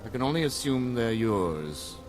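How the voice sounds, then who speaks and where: A man's voice: mid-range voice, big pitch swings, normal speed, music in the background, natural voice. An actor playing a haughty older nobleman in a video game, formal and full of disdain. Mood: cold disdain. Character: haughty, scornful older nobleman, uncle of feuding family.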